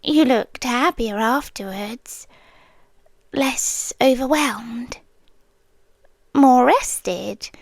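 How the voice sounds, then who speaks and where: A smothering, obsessive guardian angel, played by a British woman, speaks in a high, soft half-whisper. She lingers over every word at a very slow pace, calm and coaxing. The close-miked sound is dry and intimate, an ASMR roleplay. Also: dry recording, full character voice. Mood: wounded, possessive.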